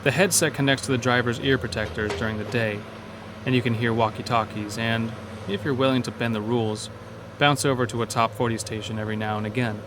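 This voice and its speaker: A man's voice: medium pitch, fairly even intonation, a little fast, noisy background. A man narrating a scripted first-person horror story as an uneasy logging worker.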